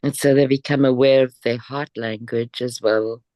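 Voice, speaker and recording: A co-host speaking softly and encouragingly in a casual conversation. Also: medium pitch, fairly even intonation, moderate pace, no room sound.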